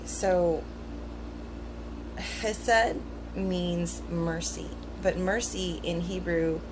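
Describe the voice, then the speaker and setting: A woman in a casual, rambling talk, part reading aloud and part musing, relaxed and thoughtful with frequent ums and pauses. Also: lowish voice. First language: English. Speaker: vlogger discussing esoteric teachings. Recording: noticeable background hum.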